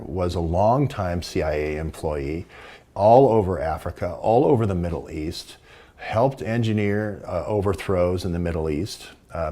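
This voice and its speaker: A man's voice: low voice, a little mellow. A man hosting a show, giving casual commentary that explains and speculates. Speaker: show host.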